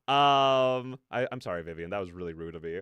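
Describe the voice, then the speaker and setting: A man hosting a reaction video, giving casual, excited commentary in a rambling, repetitive way with stammered emphasis. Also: low voice, fairly quick, smooth, no room sound, highs cut off.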